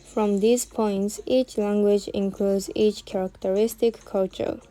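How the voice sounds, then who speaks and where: Soft-toned young woman reading. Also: mid-range voice, fairly even intonation. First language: Japanese. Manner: careful, measured reading with non-native phrasing. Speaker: student presenter. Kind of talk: reading a prepared presentation. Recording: faint music behind the voice. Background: faint background music.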